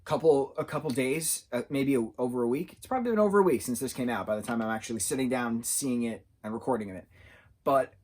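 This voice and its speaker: A man of about thirty giving a casual, unscripted TV review, rambling and halting as he searches for his words. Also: mid-range voice, darkish tone. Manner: rambling, halting, loses his train of thought. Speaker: TV show reviewer.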